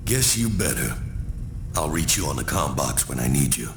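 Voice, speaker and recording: A low-voiced, gruff wasteland armorer holds forth in folksy slang with sardonic swagger. His delivery swings up and down like a game cutscene veteran, heard in an echoing, noisy space. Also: big pitch swings, normal speed, full character voice. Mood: businesslike, wry. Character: gruff older male wasteland armorer and resistance organizer, sardonic. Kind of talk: video game cutscene acting. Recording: roomy echo, noisy ambience behind.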